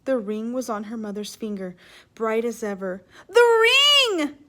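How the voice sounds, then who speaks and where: A woman reading a children's storybook aloud expressively, pausing to explain and put questions to her listeners. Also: mid-range voice, steady tone, a little mellow.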